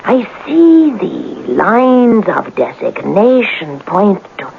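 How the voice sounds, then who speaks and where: An actress playing a theatrical, money-minded palm reader in a radio drama, speaking melodramatically and repeating phrases as if in a mystical trance. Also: female voice, medium pitch, big pitch swings, slow, creaky, exaggerated voice. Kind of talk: 1970s radio drama acting. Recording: muffled, noisy old radio broadcast.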